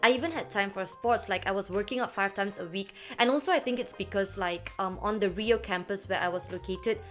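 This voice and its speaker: A woman's voice: medium pitch, a little fast, a bit bright, soft background music. A young woman talking casually to camera about her work experience, filling her speech with "like" and "um". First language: not French. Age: young adult. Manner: chatty, many "like" fillers. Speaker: vlogger.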